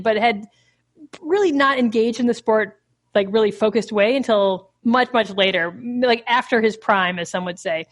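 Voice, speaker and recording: Chatty young woman podcast host. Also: a little high. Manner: chatty, many filler "like"s. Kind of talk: casual book discussion.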